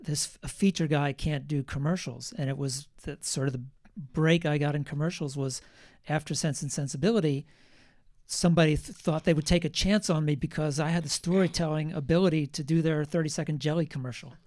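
A man with a fairly high voice talks as a film editor on a panel, relaxed and a little self-mocking. The sound is close and dry, with no room around it. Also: a little fast, dry recording. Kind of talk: panel discussion.

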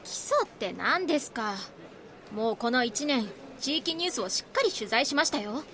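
A woman's voice: high voice, lively intonation, moderate pace, pushed and bright, exaggerated voice. A woman playing an ambitious, insistent young rookie magazine reporter in a Japanese voice drama. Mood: eager, pleading. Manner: earnest, insistent.

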